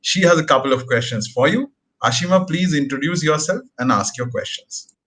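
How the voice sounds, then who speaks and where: A man hosting an interview, asking questions and introducing a guest in a polite, measured way. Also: fairly high pitch, lively intonation, fairly bright tone. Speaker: host. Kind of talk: interview moderation.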